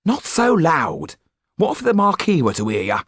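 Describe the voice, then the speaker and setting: A man's voice: a little high, huge pitch swings, normal speed, slightly rough, no room sound, studio quality, pitched above the performer's own voice, exaggerated voice. A man voicing an anxious young female scullery maid in a fretful, urgent manner. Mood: alarmed.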